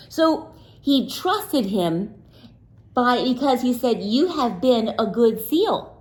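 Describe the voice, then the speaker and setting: A woman teacher reads a picture book to young children, slowly and deliberately, leaving room for their answers. Her voice sits a little high and swoops up and down a lot, playful and sing-song as she quizzes them. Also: poor audio. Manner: slow and deliberate, pausing for answers. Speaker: teacher reading aloud. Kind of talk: children's read-aloud with questions.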